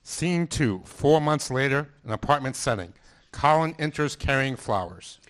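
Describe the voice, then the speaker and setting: A man's voice: medium pitch, animated, unhurried, a bit bright. A man narrating the stage directions of a script.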